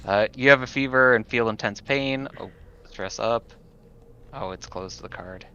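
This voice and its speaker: A man playing a tabletop roleplaying game, talking casually in a hesitant, halting way. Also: medium pitch, lo-fi sound, a crowd in the background.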